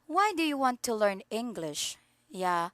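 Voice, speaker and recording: Woman english teacher on a video call, slow and careful, kind of high, dry sound. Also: very animated, fairly bright tone, dry recording. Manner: patient, asks polite questions. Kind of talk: online English lesson.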